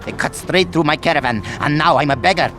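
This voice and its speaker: An actor playing a servile, self-pitying desert trader with a pleading, theatrical manner in a video game cutscene. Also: male voice, a little high, fast, lightly acted. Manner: fawning, pleading, melodramatic. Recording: music behind the voice.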